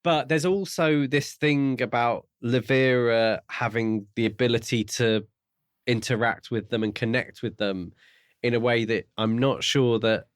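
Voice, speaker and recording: A man's voice: medium pitch, steady tone, a little slow, clear, resonant tone, no room sound, clean studio sound. A man co-hosting a podcast in a casual discussion about a book. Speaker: podcast co-host.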